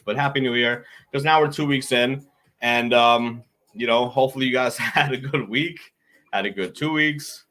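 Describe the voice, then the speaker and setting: Bright-voiced man hyping a podcast. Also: fairly low pitch. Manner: hype-man openings, emphatic repeats. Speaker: podcast co-host. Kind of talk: casual podcast chat.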